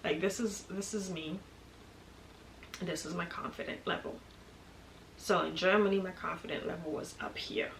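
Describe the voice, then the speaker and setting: A young woman from Kenya tells a personal story in a relaxed, chatty way, her voice sitting a little low. She laughs often. The room is echoey, and steady background noise sits behind her. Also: moderate pace, creaky, long pauses. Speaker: vlogger. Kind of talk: casual storytime chat.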